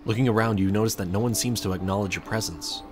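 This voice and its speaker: A man's voice: on the low side, fast talker, over music. A man reading a scripted second-person story aloud as its narrator.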